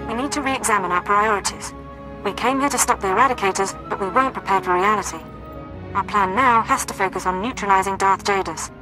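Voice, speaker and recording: A pragmatic intelligence officer acted by a woman in a video game. Her medium-pitched voice is earnest, urgent and measured, with music clearly playing behind it. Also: natural voice, low-quality recording. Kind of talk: dramatic video game voice acting.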